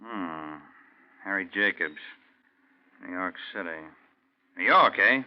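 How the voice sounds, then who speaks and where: Hard-nosed rustic prospector, low pitch, blunt probing talk, muffled vintage radio drama. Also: male voice, animated, takes it slowly, a little hiss or hum. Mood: suspicious, probing. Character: suspicious, shrewd, hard-nosed rural prospector husband. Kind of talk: 1940s radio drama acting. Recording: muffled 1940s radio broadcast.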